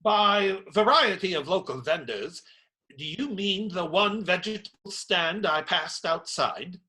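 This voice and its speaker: An actor playing a cynical, blunt and irreverent priest in a radio play, dry and sardonic with hesitations. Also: male voice, high voice, animated, measured pace, pushed and bright, exaggerated voice. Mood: sarcastic.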